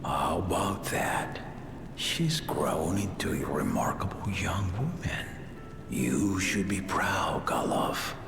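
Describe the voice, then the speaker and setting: A man puts on a gravelly old elder who half-whispers in a deep, slow drawl. The voice sits low and rough, ringing in a roomy hall with music underneath. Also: expressive, heavily acted. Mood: fond.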